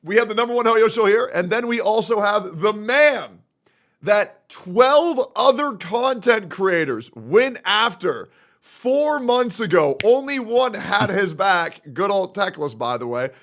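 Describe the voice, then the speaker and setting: A man in his thirties hosts a casual online chat, his voice sitting high. He talks in a hyped, profane rush, hyping up each guest. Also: expressive, fairly bright tone. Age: 30s. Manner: hyped, profane, fast banter. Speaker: podcast host.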